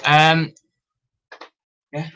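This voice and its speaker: A man's voice: fairly high pitch, completely flat delivery, drawn-out words, bright, forceful tone. A young man on a casual live stream, showing auction finds and reading the chat, rambling with plenty of ums and restarts, recorded on a camera in a small room. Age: young adult. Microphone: camera on tripod.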